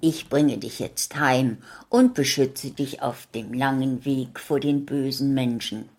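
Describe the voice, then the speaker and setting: A woman voicing a lonely, friendly young male wolf in a storybook, speaking softly and calmly. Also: extremely low pitch, slow, exaggerated voice. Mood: gentle.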